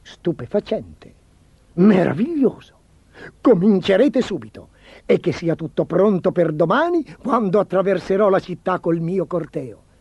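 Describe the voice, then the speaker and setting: A man's voice: sits high, lively intonation, normal speed, creaky, a little mellow, breathy edge, no echo, heavily acted. A man voicing a vain, imperious, spoiled storybook emperor in a petulant, commanding, theatrical manner. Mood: delighted. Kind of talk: storybook character voice.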